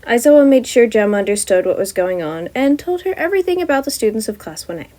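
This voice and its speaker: A woman's voice: a little high, big pitch swings, fast talker, warm tone. A woman reading scripted story narration as a podfic narrator.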